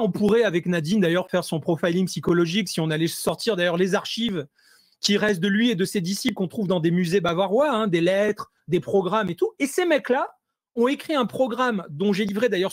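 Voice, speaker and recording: A man speaking as an interview guest over a video call, hesitant and full of fillers and restarts, growing animated when he presses a point. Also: sits high, dry recording, clean studio sound. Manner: animated, rising intensity when making points. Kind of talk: conversational interview analysis.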